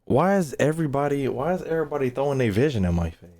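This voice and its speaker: A young man reacts casually to game voice lines. His mid-pitched voice jumps around a lot, and he talks in slang with a joking, mock-indignant manner. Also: very animated, fast, darkish tone. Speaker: gaming YouTuber reacting to voice lines.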